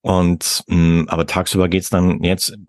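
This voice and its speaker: A man hosting a podcast, chatting casually and relaxed with a guest, using many fillers. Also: sits low, a little slow, no room sound, studio quality. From Germany. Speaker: podcast host. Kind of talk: casual interview chat. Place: home in France.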